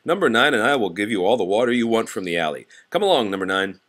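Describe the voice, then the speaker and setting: Man voicing storybook old fireman, veteran, grumbling, plucky, very animated intonation. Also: lowish voice, quick pace. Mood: laughing, confident.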